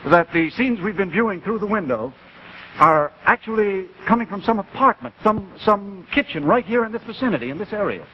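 An actor playing a polite, earnest TV announcer in 1950s live drama, formal and measured with hesitations. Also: male voice, high voice, animated. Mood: puzzled, probing. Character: polite, earnest television announcer puzzled by mystery. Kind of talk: 1950s live TV presenter acting. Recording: dull 1950s TV audio, faint noise.

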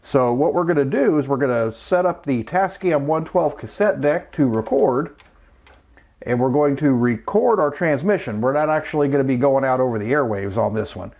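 A man's voice: mid-range voice, animated, normal speed, darkish tone. A middle-aged man, narrating a casual hands-on tutorial in a plain-spoken, step-by-step way. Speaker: host of a vintage electronics channel, amateur radio hobbyist.

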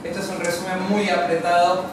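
A man's voice: high-pitched, completely flat delivery, fairly quick. A man introduces a guest, hesitating with many fillers. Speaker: host, interviewer. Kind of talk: presenting a guest. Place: classroom. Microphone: far camera. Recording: echoey classroom from far off, loud noise.

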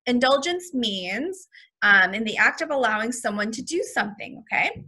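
Lady teacher reading a kids book out loud, kinda high bright voice, explains stuff simply. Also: lively intonation, moderate pace, slightly rough. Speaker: first grade teacher. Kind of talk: storybook read-aloud with vocabulary explanations.

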